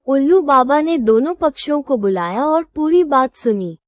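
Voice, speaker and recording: A woman reading a scripted children's moral story as storyteller, in a measured, instructive manner. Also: high-pitched, lively intonation, dry recording.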